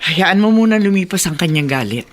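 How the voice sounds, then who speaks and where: An actress playing a sorrowful, resigned middle-aged aunt in a radio drama. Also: female voice, fairly low pitch, animated, brisk. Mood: sad, resigned. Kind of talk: Tagalog radio drama acting.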